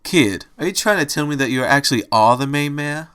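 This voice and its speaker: A man's voice: a little high, animated, fairly quick, a bit bright, clear, resonant tone. Man putting on a brash Italian-accented bunny tough guy. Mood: puzzled. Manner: brash, slangy tough talk. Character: gruff bossy streetwise male bunny, tough-guy temper.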